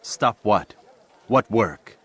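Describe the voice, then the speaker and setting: A low-voiced, arrogant young assassin. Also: male voice, lively intonation, extremely slow, a bit bright, slightly rough, dry recording, full character voice. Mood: cold threat. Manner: curt, commanding.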